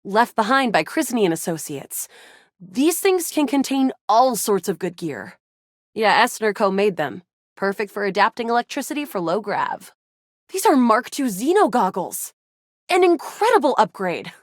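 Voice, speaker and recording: A woman voicing a feisty, excitable young resistance fighter in a sci-fi video game, with a dramatic, playful delivery. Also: mid-range voice, normal speed, no echo, studio quality. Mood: urgent. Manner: animated, dramatic, playful banter. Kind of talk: video game character voice acting.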